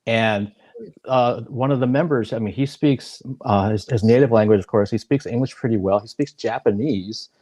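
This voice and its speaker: A man hosting a live-streamed video-call interview, chatty and relaxed, with many fillers and restarts. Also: medium pitch, moderate pace, no echo. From United States. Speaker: interview host.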